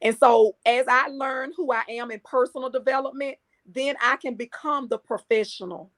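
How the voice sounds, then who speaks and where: A woman's voice: sits high, normal speed, a bit bright. Earnest woman speaking like a preacher. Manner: earnest, preacher-like emphasis, repeats phrases for effect. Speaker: guest speaker and coach. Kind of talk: conversational interview.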